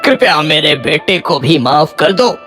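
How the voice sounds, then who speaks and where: A man's voice: fairly high pitch, very animated, a little slow, sharp tone, heavily acted. A man playing a humble, devout village farmer and father in a cartoon moral story, speaking earnestly and reverently. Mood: apologetic, pleading. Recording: music behind the voice.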